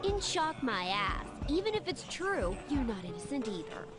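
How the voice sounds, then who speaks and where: A woman voicing a scornful, sharp-tongued character in a video game cutscene. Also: high voice, sing-song, normal speed. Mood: disgusted. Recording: loud music over dialogue.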